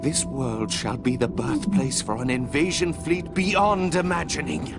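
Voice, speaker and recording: An actor playing a zealous, scheming alien high priest in a video game, speaking in a grandiose, measured way. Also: male voice, fairly even intonation, close to an everyday voice. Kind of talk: dramatic video game cutscene acting. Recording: loud music under the voice.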